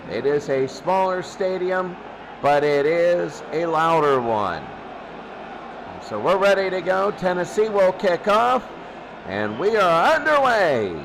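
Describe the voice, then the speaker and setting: Male radio football play-by-play caller, high voice, measured pace, noisy recording. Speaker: play-by-play sports announcer. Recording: clearly noisy background throughout.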